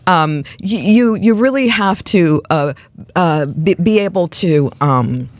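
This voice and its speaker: A middle-aged woman giving thoughtful, measured, conversational answers as an interview guest. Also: on the low side, animated. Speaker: interview guest, stage and screen actress. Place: radio studio.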